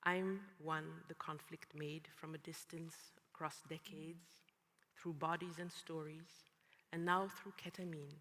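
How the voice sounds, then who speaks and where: Woman, measured poetic delivery, conference stage, reverberant hall. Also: deep voice, fairly even intonation, a bit bright, slight background noise. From Rwanda. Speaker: symposium speaker. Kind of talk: spoken-word personal testimony.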